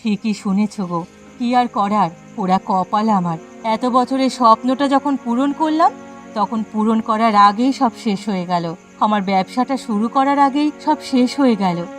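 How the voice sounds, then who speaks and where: A woman's voice: on the high side, measured pace, faint music behind, natural voice. A woman voicing an aggrieved village wife who runs a food stall in a cartoon, plaintive and exasperated. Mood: dejected. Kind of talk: cartoon dialogue acting.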